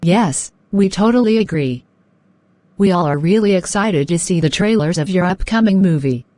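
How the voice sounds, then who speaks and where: A woman playing a polite, eager young woman in a scripted cartoon dialogue for English learners, asking and answering in a deliberate way. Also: on the low side, lively intonation, mellow, no room sound, lightly acted. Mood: polite, interested.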